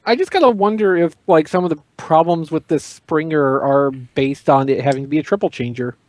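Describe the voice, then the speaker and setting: A man's voice: fairly high pitch, fairly quick, a little mellow, dry recording. A man chatting casually as a panelist on a podcast. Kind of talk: casual group chat.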